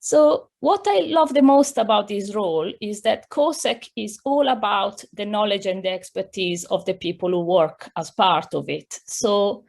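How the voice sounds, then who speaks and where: A woman's voice: medium pitch, creaky. A middle-aged woman from Italy with an Italian accent, a director answering interview questions over a video call, careful and full of fillers. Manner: careful, with many fillers and restarts. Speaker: director of a computing science centre, guest. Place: home room.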